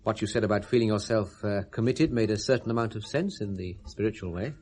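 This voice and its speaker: Actor as husky, persuasive British mentor. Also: male voice, on the low side, quick pace, a little mellow, close to an everyday voice. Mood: reassuring. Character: reassuring, persuasive, businesslike male mentor. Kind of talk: 1970s British radio drama acting.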